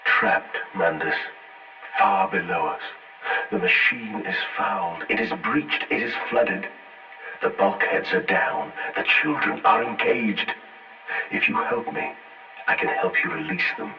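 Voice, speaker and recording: A grave machine intelligence with a very low voice. It speaks in portentous, archaic phrases and coaxes like a sinister master. The sound is filtered like an old radio, distant and echoing, with music behind it. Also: male voice, unhurried. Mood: urgent, commanding. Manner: portentous, formal. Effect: radio or telephone filter. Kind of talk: scripted game voice acting, archaic diction. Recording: tinny band-limited line, echoing, distant.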